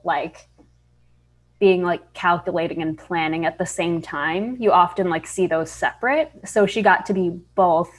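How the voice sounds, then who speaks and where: A woman who voice-acts, giving a casual, hesitant interview answer. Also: fairly low pitch. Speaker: voice actor, cast member.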